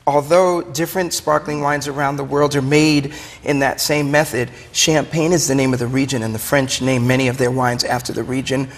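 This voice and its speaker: A man's voice: medium pitch, fairly even intonation, normal speed, a little hiss or hum. A man with a Brooklyn accent explaining things in a chatty, enthusiastic, conversational way as an interview guest. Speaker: wine shop owner, interview guest. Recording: long hollow room reverb.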